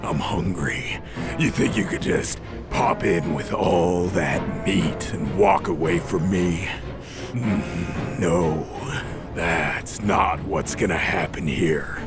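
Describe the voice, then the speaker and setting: A man playing a monstrous, taunting, hungry giant wolf in an audio drama, with a gravelly voice and a crude, leering drawl. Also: low-pitched, takes it slowly, over loud music. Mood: hungry, menacing.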